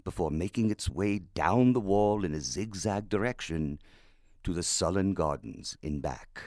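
An actor playing a gothic narrator, reading scripted description in a radio play. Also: male voice, sits low, animated, measured pace, a little mellow, no room sound, clean studio sound. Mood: somber. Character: gothic storybook narrator describing a gloomy house.

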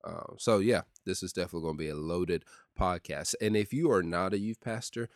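A youth pastor with a deep voice, talking in a casual solo podcast with lots of ums and uhs. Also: male voice, brisk, dry recording, clean studio sound. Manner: steady and conversational with many ums and uhs.

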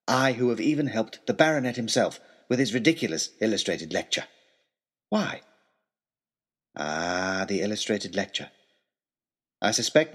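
A man's voice: fairly low pitch, husky. An actor playing a put-upon, wry male tutor, reading his pompous, wordy, aggrieved letter aloud in a comic audio story. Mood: wry indignation. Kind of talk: scripted comic narration as a letter read aloud.

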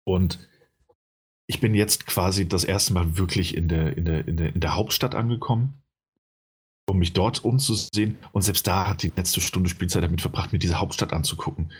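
Man, very low voice, husky, rambling, lots of fillers. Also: normal speed. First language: German. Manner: rambling, many fillers and restarts. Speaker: game reviewer. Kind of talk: casual podcast chat.

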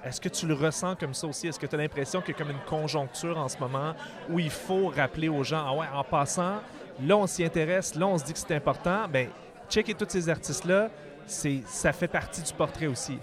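A man works as an interviewer in a loose conversational style. His voice is fairly high. A crowd murmurs clearly behind him. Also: a little fast. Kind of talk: casual interview questions. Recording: busy crowd noise behind.

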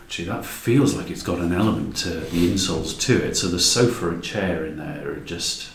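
Deep voiced guy chatting away, really into it, echoey room, slight background noise. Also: fairly quick. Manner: enthusiastic, emphatic. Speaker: podcast guest, drama creator. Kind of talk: casual chat.

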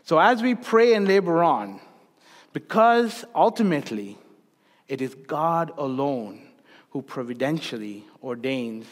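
A man who grew up in Sri Lanka, preaching a sermon to his church, repeating key phrases for emphasis and moving between raised and hushed delivery. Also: on the high side, big pitch swings, a little slow, in a large hall. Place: church sanctuary.

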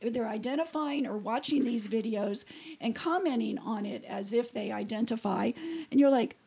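A middle-aged woman co-hosting a podcast, her voice raspy and hoarse from a cold. She speaks a little high, with a husky gravel underneath. She is chatty, laughing often and filling pauses. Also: dark tone. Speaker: podcast co-host. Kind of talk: casual podcast chat.

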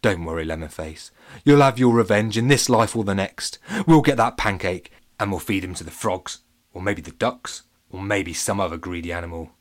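A man voicing a scheming brown banana, speaking low and slow. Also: close to an everyday voice. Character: scheming laid-back male brown banana.

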